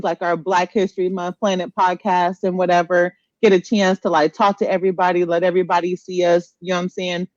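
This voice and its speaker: A woman's voice: fairly low pitch, no room sound, muffled recording. A woman with an American accent hosting a casual podcast in an informal, conversational way, often repeating herself. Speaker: podcast host. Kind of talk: casual live podcast chat.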